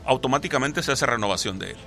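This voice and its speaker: A man talks football in an animated way, his voice bright and his pitch jumping up and down. He explains things at length and keeps restarting his sentences. Faint music plays behind him. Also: fast. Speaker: sports talk co-host. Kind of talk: casual football chat.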